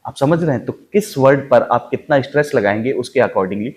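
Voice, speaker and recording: A man's voice: mid-range voice, lively intonation, moderate pace, a little mellow, husky. A man teaching an acting lesson, explaining step by step with emphasis and repetition of key points. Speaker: acting coach. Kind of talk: instructional acting lesson with spoken demonstrations.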